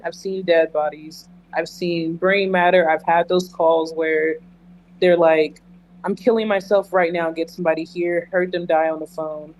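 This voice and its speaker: Male interview guest, high-pitched, candid and emphatic, conversational delivery over audible background hum. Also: poor audio. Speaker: interview guest, emergency dispatcher. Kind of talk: earnest conversational interview answers.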